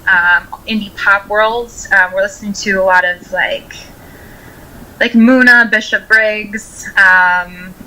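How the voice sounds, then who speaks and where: A woman's voice: medium pitch, fairly even intonation, slow pace, sharp tone, poor audio. A woman in her twenties with an American accent, chatting casually as a musician being interviewed, often saying "like" as she talks. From Rochester, United States. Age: 20s.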